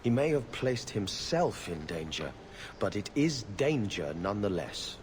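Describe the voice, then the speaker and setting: Low, haughty court steward. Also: male voice, big pitch swings, measured pace, slight background noise. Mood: grave, disdainful. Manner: formal, clipped, disdainful. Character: weary, haughty male court steward guarding a viscount's door. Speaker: character in a video game. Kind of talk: scripted video game dialogue.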